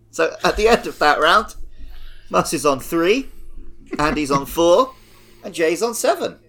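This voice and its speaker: A man's voice: a little high, expressive, takes it slowly, long pauses, sharp tone. A man hosting a comedy panel game in a casual, jokey, sweary way. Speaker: game show host.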